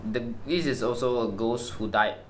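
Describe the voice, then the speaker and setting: A woman co-hosting a casual chat, steering topics and tossing in quick questions. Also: a bass voice. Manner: reactive, short questions and interjections. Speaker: podcast co-host. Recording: faint background noise.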